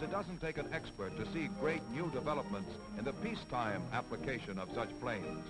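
Casting: American man, 1940s military newsreel narrator, mid-pitched formal announcer delivery, old film soundtrack with loud music behind. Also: low-quality recording.

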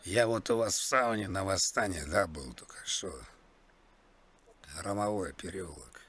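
A man with a husky, bright voice makes prank calls in a casual chat. He sounds mock-polite while slipping into crude slang. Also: deep voice, unhurried. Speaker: prank caller, streamer.

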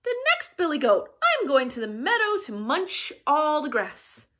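Woman playing a perky, high-voiced cheeky young billy goat. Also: very animated, unhurried, pushed and bright, exaggerated voice. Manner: bleating, perky. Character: middle-sized young male billy goat, cheeky, clever. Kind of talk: animated children's storybook reading.

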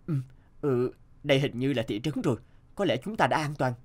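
An animated folktale hero, young, brave and kind, acted by a man. His delivery is earnest and slightly hesitant, with very lively swings in pitch. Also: brisk, lightly acted. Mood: urgent, earnest.

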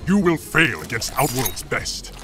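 A man's voice: medium pitch, very animated, a little slow. A male actor playing a proud, stern warrior emperor in a fighting game, speaking formally and imperiously. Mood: stern contempt. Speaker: video game character actor. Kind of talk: dramatic fighting game dialogue. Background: loud dramatic music.

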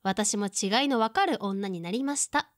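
A woman's voice: mid-range voice, normal speed, no echo, studio quality, lightly acted. A woman playing a teasing, perceptive woman in her mid-thirties who puts on cheer, in casual audio drama dialogue.

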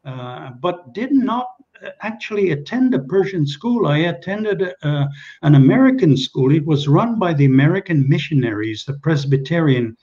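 A man's voice: on the high side, expressive, moderate pace, a little mellow, highs cut off. An older man from Kenya whose first language is Czech, speaking as a guest on a video call interview and trailing off into many hesitations and restarts. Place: small indoor room at home. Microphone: webcam on a video call.

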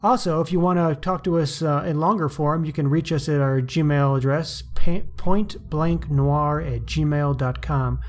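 A man's voice: fairly high pitch, fairly even intonation, measured pace, hardly any pauses. A man co-hosting a podcast, chatting casually with an enthusiastic, conversational manner. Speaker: podcast co-host.

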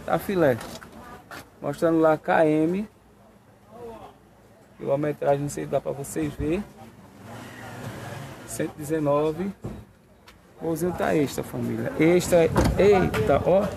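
A man's voice: a little high, measured pace, gravelly, long pauses, background noise. A man filming a walkaround of cars for sale, upbeat and full of repeated catchphrases, recorded on a phone. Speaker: car fair vlogger. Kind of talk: hyped sales walkaround. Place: outdoor car lot. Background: crowd chatter at a car fair.

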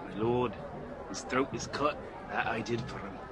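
A man's voice: mid-range voice, moderate pace, a loud crowd, heavy background noise, close to an everyday voice. A man playing grim hired killer. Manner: hushed, grim. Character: hired murderer, gruff and low.

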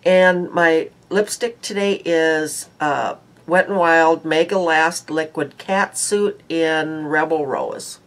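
A woman's voice: low voice, takes it slowly, few pauses. A woman in her sixties with a stuffy nose, chatting casually to a webcam in a small room at home about her makeup and her day, rambling with many ums and uhs. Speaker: beauty vlogger. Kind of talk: casual daily makeup chat. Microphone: webcam at close range.

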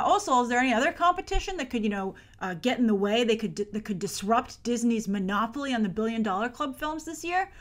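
High-voiced American woman hosting movie commentary. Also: a bit bright. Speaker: movie news show host. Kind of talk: chatty box office commentary to camera.

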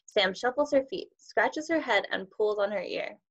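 A woman's voice: mid-range voice, expressive, normal speed, slightly rough. A young woman teacher reading a children's picture book aloud on a video call. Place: indoors, on a group video call. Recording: dull, muffled video call audio.

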